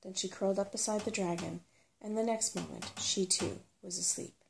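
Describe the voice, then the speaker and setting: A woman's voice: medium pitch, steady tone, moderate pace, creaky, noisy background. A woman reading a children's fantasy novel aloud as ASMR storybook narration. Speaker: storybook reader.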